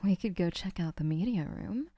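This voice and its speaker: A woman playing a doting, obsessive young woman who keeps the listener, speaking in a soft, whispery, sweetly possessive way in an audio roleplay. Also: on the low side, expressive, moderate pace, dry recording, clean studio sound.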